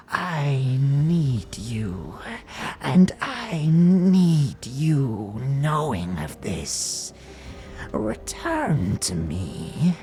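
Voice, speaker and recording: Creaky, deep-voiced ancient cackling hag. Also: female voice, darkish tone, slight background noise, full character voice. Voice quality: cackling, creaky, breathy whispers. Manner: cryptic, archaic inverted phrasing, lingering and theatrical. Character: ancient cackling night hag, cunning, riddling, possessive witch. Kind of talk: theatrical audio drama acting.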